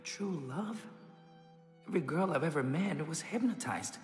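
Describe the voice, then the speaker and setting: High-voiced, anxious young prince. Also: male voice, lively intonation, normal speed. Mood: anxious, dejected. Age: young adult. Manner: self-doubting, wry. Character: anxious, wry twenty-year-old cartoon prince. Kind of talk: animated film acting. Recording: long reverb, faint music.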